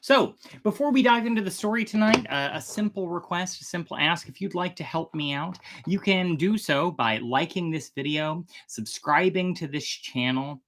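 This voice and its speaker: A storytelling host chatting casually on a livestream in an expressive, slightly theatrical manner. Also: medium pitch, normal speed, hardly any pauses, a little hiss or hum.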